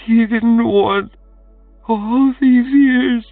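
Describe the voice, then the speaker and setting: A man voicing a frightened young beggar woman, timid and pleading, who talks in a halting stammer. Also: very high voice, fairly even intonation, vocal fry, no room sound, far from the mic, phone-quality sound, faint music behind, voice pushed up, heavily acted.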